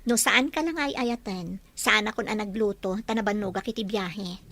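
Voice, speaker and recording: A woman's voice: medium pitch, moderate pace, a bit bright. A woman playing a suspicious yet devoted wife and mother in an audio drama. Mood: suspicious, controlled.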